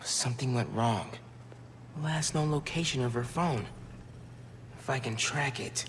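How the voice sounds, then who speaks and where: A man's voice: mid-range voice, a little slow, natural voice. An actor playing an earnest, determined teenage boy hero with a boyish delivery in a dramatic video game scene. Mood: focused. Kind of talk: dramatic game cutscene acting. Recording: loud ambient and vehicle noise.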